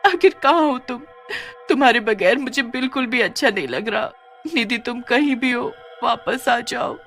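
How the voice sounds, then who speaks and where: Woman acting a plaintive, high-voiced young woman. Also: normal speed, fairly bright tone, faint music behind. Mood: grieving. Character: tender young village woman, anxious for her friend. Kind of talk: cartoon story character voice.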